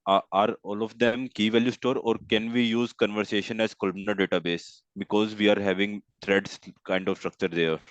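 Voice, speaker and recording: A man's voice: fairly low pitch, little pitch movement, normal speed, hardly any pauses, dry recording. A man taking part in a technical discussion as the one asking questions. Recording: dull, lo-fi dry audio.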